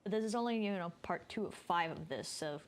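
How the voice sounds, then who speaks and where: A woman's voice: on the low side, brisk. A woman livestreamer giving casual, off-the-cuff commentary as she plays through a story game.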